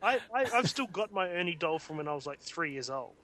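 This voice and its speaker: Bright-voiced Australian man, joking. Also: fairly high pitch, big pitch swings, fairly quick. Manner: jokey, rambling, laughs often. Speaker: podcast co-host. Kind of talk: casual podcast chat.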